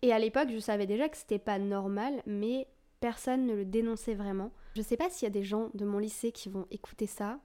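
Candid young woman podcaster, mellow voice. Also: medium pitch, steady tone, brisk. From France. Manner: candid, confessional, casual with fillers. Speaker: podcast host. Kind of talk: personal storytelling monologue.

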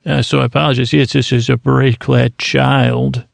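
A man with a heavily gravelly, fry-laden voice rambles through a bedtime monologue. He stammers, breaks off and wanders into digressions, keeping his pitch fairly flat. The delivery is meant to send listeners off to sleep. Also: no echo. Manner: meandering, halting, stammering, digressive, mumbly self-interruptions. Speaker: podcast host.

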